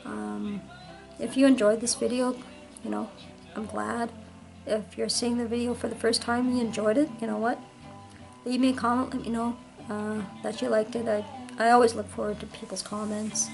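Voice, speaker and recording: Hoarse woman, higher voice, rambling casual record-collector vlog, faint music behind. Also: flat delivery, normal speed, a little mellow, poor audio. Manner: chatty, rambling, many ums and restarts. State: hoarse, late-night tired. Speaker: vinyl collector vlogger. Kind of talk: casual show-and-tell vlog.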